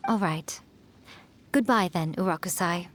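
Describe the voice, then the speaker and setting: A woman's voice: on the low side, a little hiss or hum, natural voice. A woman voicing an ancient fox-spirit lady in an anime-style video game, elegant, coy and smugly teasing. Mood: breezy. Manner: coy, smug, drawn-out teasing.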